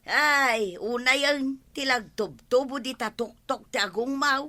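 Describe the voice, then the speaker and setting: Piercingly bright, pushy village woman. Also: high-pitched, a little slow. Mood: coaxing. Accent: Ilocano. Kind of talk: comedy radio drama acting.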